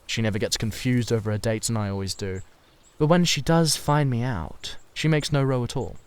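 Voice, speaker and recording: Man playing a witty, cynical, theatrical young gentleman. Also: medium pitch, moderate pace, clean tone, lightly acted. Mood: amused, teasing. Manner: theatrical, emphatic, drawling epigrams. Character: witty, cynical young gentleman, amused and languidly self-assured. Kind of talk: dramatised audiobook reading.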